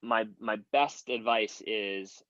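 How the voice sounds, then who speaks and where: A man's voice: on the low side, slow, fairly bright tone, no echo, dull audio. A man giving advice in a casual audio room chat, earnest and rambling, with many "like" fillers. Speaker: guest creator giving advice.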